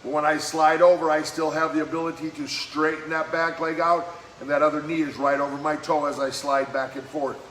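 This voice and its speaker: A man leading a live-streamed workout as fitness coach, giving brisk, encouraging exercise cues in a slightly hoarse voice that keeps cracking. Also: a little high, little pitch movement, a bit bright. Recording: echoey room with faint background noise.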